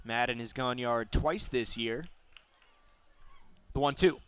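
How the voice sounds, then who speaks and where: A young man calling a baseball game live as play-by-play announcer, describing each pitch and getting excited on big plays. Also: slow pace, clear, resonant tone. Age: young adult.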